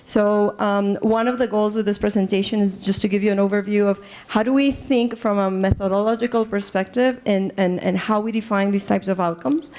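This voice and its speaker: A woman's voice: medium pitch, steady tone, brisk, few pauses, a little mellow, a little hiss or hum. Colombian woman giving an academic lecture. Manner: earnest, lots of fillers and restarts, engages audience. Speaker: assistant professor, presenter. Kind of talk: academic lecture to a live group. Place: conference room.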